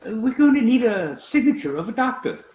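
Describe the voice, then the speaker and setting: A man's voice: extremely high pitch, very animated, a little fast. Man playing a fussy official in echoey, muffled audio. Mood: hesitant, fussy. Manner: hesitant, formal. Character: polite, hesitant, fussy asylum superintendent. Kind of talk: stage comedy acting for radio theatre. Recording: dull, echoey, noisy audio with clicks.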